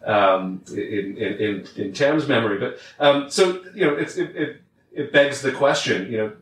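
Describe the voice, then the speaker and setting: A man's voice: medium pitch, measured pace, a bit bright, a little hiss or hum. A young man hosting a video call interview, speaking hesitantly and repeating words. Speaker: host. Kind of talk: casual interview talk. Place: home room with bookshelves. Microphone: video call, headset. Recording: roomy, distant video call.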